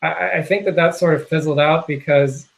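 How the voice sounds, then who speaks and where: A man being interviewed on a video call, talking casually and haltingly with many fillers and restarts. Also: fairly high pitch, steady tone, creaky. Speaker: guest, software project contributor.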